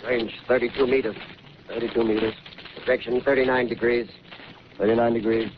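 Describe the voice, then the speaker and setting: A man's voice: mid-range voice, level tone, brisk, lightly acted. Male gunnery soldier, acted: terse barked military calls, old radio drama, dull and noisy vintage sound. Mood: brisk, commanding. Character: disciplined male artillery soldiers calling ranges and orders. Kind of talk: 1930s radio drama acting. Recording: muffled, noisy 1930s radio recording.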